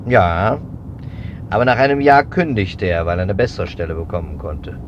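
An actor playing a methodical, suspicious investigator in a 1950s German radio drama, speaking deliberately in emphatic, clipped phrases. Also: male voice, sits low, lively intonation. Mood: determined. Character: persistent adult male investigator, methodical and suspicious. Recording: dull, noisy 1950s radio recording.

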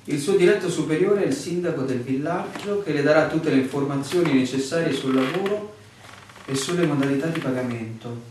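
A man's voice: a little high, brisk, darkish tone, lightly acted. A man playing a bossy, sardonic land surveyor in a theatrical radio drama style. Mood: formal. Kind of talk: stage-like radio drama acting. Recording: echoey room, distant mic, background noise.

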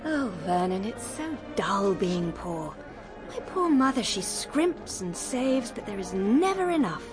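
A woman's voice: mid-range voice, big pitch swings, measured pace, music in the background. An actress playing a sweet, artless and genteel young society woman in a radio drama. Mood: wistful. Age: young adult. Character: sweet, girlish, wistful young society beauty.

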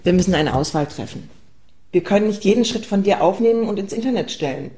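Dry, long-suffering girlfriend played by an actress, mid-range voice, deadpan comic radio-play delivery. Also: medium pitch, quick pace, lightly acted. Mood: weary, reproachful. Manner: deadpan, patronising. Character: dry, weary girlfriend of an attention-seeking blogger. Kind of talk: satirical radio play dialogue.

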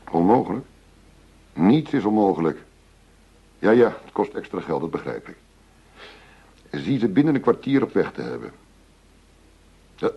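A shrewd, commanding undercover operator in a radio drama. His voice is very low and husky, with clipped, authoritative phrasing. The recording is dull and lo-fi. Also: full character voice.

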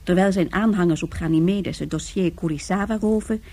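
A woman's voice: lowish voice, a little fast, mellow. A woman reading scripted narration for a science-fiction radio drama. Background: faint music.

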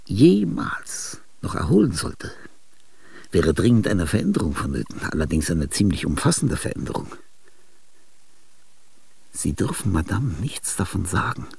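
An actor in a radio drama playing an ailing, aging male genius, restless and grandiose. He speaks confidingly in a husky, gravelly voice, with sudden outbursts and whispers. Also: deep voice, sing-song, unhurried, pauses often, a little mellow, exaggerated voice. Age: older. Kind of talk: theatrical radio drama monologue.

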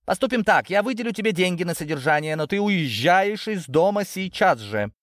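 A man's voice: fairly low pitch, very animated, moderate pace, no room sound, studio quality. A man playing a stern older father and head of a family, curt, indignant and commanding, in an audiobook. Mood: curt, decisive.

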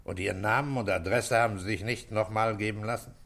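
An actor in a radio play playing a calm, gruff police commissioner who questions tersely and gives orders with authority. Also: male voice, on the low side, fast talker. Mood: probing, matter-of-fact.

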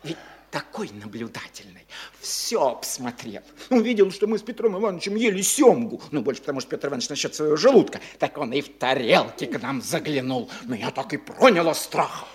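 An actor playing a fussy, chattering middle-aged provincial landowner who stammers and rambles breathlessly in a radio play. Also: male voice, a little high, sing-song, a little fast. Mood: eager. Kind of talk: stage radio play acting.

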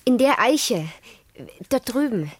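A polite, curious teenage girl for a radio crime play. The voice is light and girlish, unhurried and a little breathy at the edges. It speaks with care and interest. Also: a little high, big pitch swings, exaggerated voice. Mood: polite, eager. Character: curious, polite fifteen-year-old girl visiting her uncle. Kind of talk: 1970s German radio crime drama acting.

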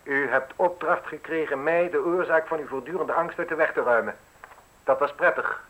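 A man's voice: fairly high pitch, moderate pace, a bit bright, highs cut off. A man acting a ruthless, mocking journalist in a radio play, articulate and insinuating as he interrogates. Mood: relentless. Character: probing, mocking, ruthless male newspaper journalist needling an official.

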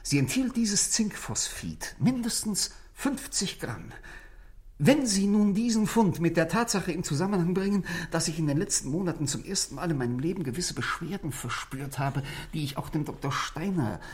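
A man's voice: a little high, lively intonation, moderate pace, lightly acted. Actor as nervous husband guy, hesitant, apologetic, kinda whispery at times, old sixties radio play. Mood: urgent. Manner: hesitant, apologetic, earnest, breaking into whispery undertones. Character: troubled married man, anxious, self-taught, convinced he is being poisoned. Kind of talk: 1960s German radio play acting. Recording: vintage 1960s radio drama recording.

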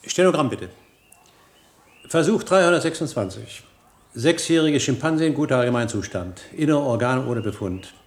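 An actor playing a confident, calculating scientist and physician in a German radio drama, brisk, clinical and assured. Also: male voice, mid-range voice, animated, natural voice.

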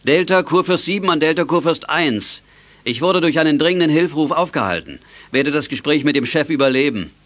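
A man's voice: a little high, lively intonation, brisk, a bit bright. A man playing a dependable, good-natured middle-aged father and repairman in a children's radio play. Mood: brisk, calm. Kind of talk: 1980s German children's radio play acting.

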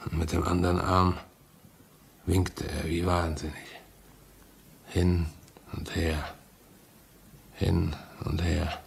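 An actor playing a shaken, grave train driver in a radio play, speaking deliberately. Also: male voice, deep voice, flat delivery, gravelly, slight background noise, full character voice. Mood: grave, horrified.

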